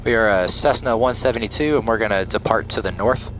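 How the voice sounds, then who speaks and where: Male flight-sim host, procedural checklist narration and pilot calls, on the low side, some engine noise behind. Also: a little fast, a bit bright, low-quality recording. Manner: procedural, talks himself through checklists. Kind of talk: step-by-step instructional commentary with pilot radio calls. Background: simulated aircraft engine and cockpit sound.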